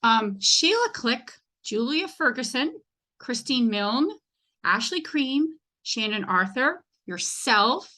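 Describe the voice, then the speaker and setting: A woman's voice: a little high, big pitch swings, slow pace, sharp tone. A woman hosting a casual podcast interview in an enthusiastic, informal way. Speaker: podcast host.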